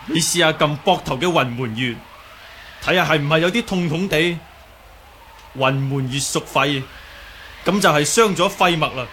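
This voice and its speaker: Radio drama casting: humble young Cantonese martial artist and healer, lightly high-pitched, measured courtesy. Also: male voice, pushed and bright, a little hiss or hum. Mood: calm, diagnostic. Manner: polite, humble, explanatory. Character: calm, learned young martial artist with healing knowledge.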